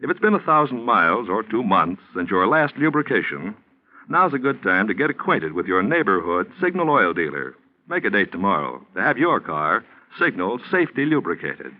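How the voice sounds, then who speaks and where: American male announcer, forties radio style, polished and emphatic, very lively inflection, muffled vintage sound. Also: lowish voice, normal speed. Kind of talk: 1940s radio commercial and announcing. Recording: muffled 1940s radio recording, faint music.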